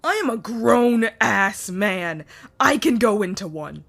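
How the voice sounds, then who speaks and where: A man's voice: sits high, animated, slow pace, gravelly, a bit bright, full character voice. A man playing a naive, indignant, teasing nineteen-year-old prince in a fantasy audio drama. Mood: indignant, sheepish.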